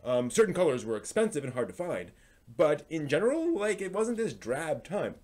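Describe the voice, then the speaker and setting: A man's voice: on the high side, wildly swooping pitch, normal speed, darkish tone. A man hosting a live stream, talking through a review in a casual, explanatory way and often restarting phrases as he thinks aloud.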